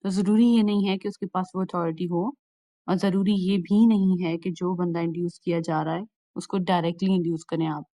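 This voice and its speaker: Mellow-voiced Pakistani woman lecturing. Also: on the low side, fairly even intonation, brisk, dry recording. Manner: methodical, repeats and rephrases points. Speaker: law lecturer. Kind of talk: explanatory lecture, switching between Urdu and English.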